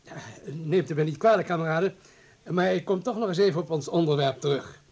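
An actor playing an anxious, insistent party official in a radio play, arguing formally and emphatically. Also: male voice, a little high, a little fast, a little mellow, lo-fi sound. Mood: stern, insistent. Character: anxious, insistent party comrade, hard and self-justifying official.

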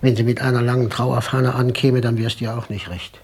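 An actor playing a weary, grumbling husband in a radio play, chiding and exasperated, with a creaky voice. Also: male voice, medium pitch, flat delivery, brisk, slight background noise, close to an everyday voice.

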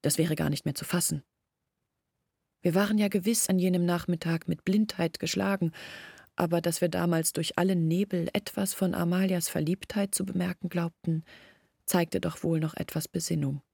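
An actress playing an earnest, reflective village woman in a German literary radio drama, speaking in measured formal phrases. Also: lowish voice, fairly even intonation, gravelly, dark tone, breathy edge, dry recording. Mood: pondering.

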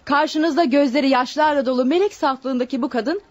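An actress playing a severe prosecutor, formal, scornful and declamatory, in a radio courtroom drama. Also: female voice, sits high, a little fast, lightly acted. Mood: solemn accusation. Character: severe, accusing female prosecutor. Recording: dull lo-fi radio drama recording.

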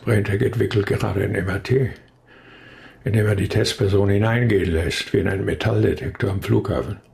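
An actor playing an injured, grieving male professor in a radio crime drama, talking haltingly but insistently in a weakened voice. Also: on the low side, steady tone, gravelly, a little mellow. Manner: halting, hesitant, yet insistent. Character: injured male brain research professor, bereaved, weary, stubbornly convinced.

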